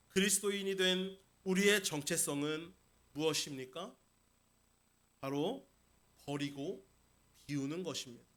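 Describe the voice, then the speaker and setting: High, bright-voiced male pastor preaching. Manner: emphatic preaching, addresses the congregation, repeats key phrases. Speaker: senior pastor. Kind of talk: Sunday sermon. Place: church sanctuary during a service.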